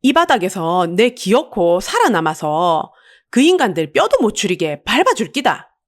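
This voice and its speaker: A woman playing a defiant, down-and-out middle-aged woman with a sharp tongue and a Busan accent. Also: medium pitch, sing-song, normal speed. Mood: vengeful. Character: feisty, vengeful down-and-out betrayed middle-aged woman.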